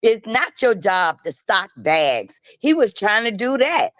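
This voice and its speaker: A woman's voice: medium pitch, big pitch swings, slow pace, a bit bright, no room sound. A woman giving casual gossip commentary as a guest, emphatic and matter-of-fact, with colloquial phrasing. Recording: dull, lo-fi call audio.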